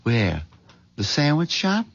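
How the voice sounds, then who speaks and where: Stammering, husky, timid hen-pecked husband. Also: male voice, fairly high pitch, huge pitch swings, slow, heavily acted. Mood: flustered, doubtful. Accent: American. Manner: stammering, hesitant. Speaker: radio drama actor. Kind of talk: 1970s radio drama acting. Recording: dull lo-fi 1970s radio recording.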